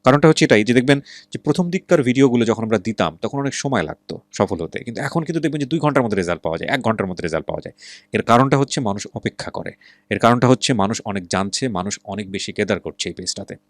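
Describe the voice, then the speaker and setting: A man's voice: lowish voice, expressive, racing, no room sound, clean studio sound. A man with a Bangladeshi accent presenting and interviewing conversationally as a radio show host, mixing in English words and probing with questions. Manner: probing, asks follow-up questions; mixes English words into speech.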